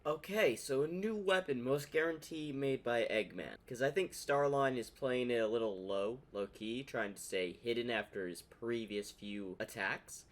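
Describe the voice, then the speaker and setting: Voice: mid-range voice, normal speed, hardly any pauses. A reaction host commenting on a comic dub, thinking aloud and repeating phrases.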